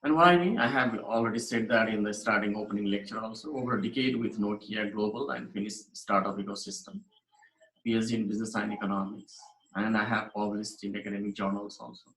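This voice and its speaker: A man from Nepal delivers a recorded lecture over his slides in a fairly low voice. He talks in an earnest, emphatic way, often restarting and repeating phrases. The room around him is echoey. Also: a bit bright. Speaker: university lecturer.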